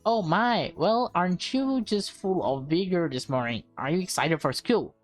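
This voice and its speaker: A woman's voice: on the low side, animated, moderate pace, faint music behind, lightly acted. A woman voicing a doting, cheerful mother talking sweetly to her small daughter in a visual novel.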